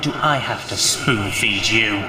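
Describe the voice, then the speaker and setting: A man voicing a patronising, wry scientist in an audio drama, measured and condescending. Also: on the low side, a loud crowd, close to an everyday voice. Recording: echoey with loud background noise.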